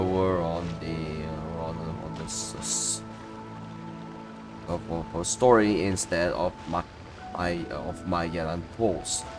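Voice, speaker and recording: A man with a deep voice commentates casually on a game he is playing. He talks slowly and haltingly, repeating phrases, while loud game music plays over him. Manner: halting, stuttering, repeats words. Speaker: gamer commentating on own playthrough.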